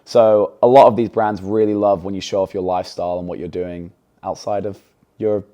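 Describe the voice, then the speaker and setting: Low, mellow and relaxed, a young man in his twenties talks as an interview guest. His accent blends English and American, and his chatty, upbeat delivery is full of ums. It is an easygoing, casual conversation. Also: brisk, lo-fi sound. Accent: mix of English and American. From England. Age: 20s. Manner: chatty, filler-heavy, upbeat.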